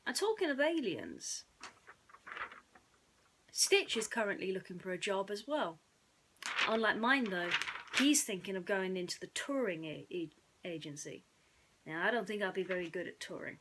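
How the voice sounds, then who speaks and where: A woman's voice: medium pitch, animated, moderate pace, long pauses, fairly bright tone, a little hiss or hum, low-quality recording. A woman reading stories to children in a playful, theatrical way, with chatty asides. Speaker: children's bedtime story reader. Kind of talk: animated storytelling for children.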